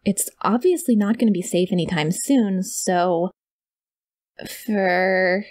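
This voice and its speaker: A sweet, clingy yandere kidnapper played by a woman, with a high voice recorded very dry and close. She rambles in an awkward, adorkable, gushing way, as in an ASMR audio roleplay spoken to her captive. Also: a little mellow, dry recording. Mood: anxious, insistent.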